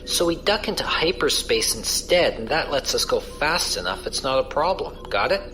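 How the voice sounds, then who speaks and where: A man's voice: medium pitch, big pitch swings, normal speed, bright, forceful tone, soft background music, low-quality recording. A man playing a casual, wry space pirate crewman who explains things colloquially in a science fiction audio drama. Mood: casual, explanatory. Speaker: voice actor.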